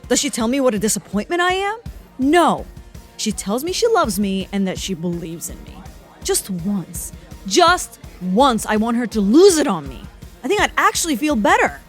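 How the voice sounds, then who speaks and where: A woman's voice: on the high side, big pitch swings, normal speed, faint music behind. A woman playing an exasperated, rebellious young woman in a comic audio drama, sarcastic and emphatic. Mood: frustrated venting.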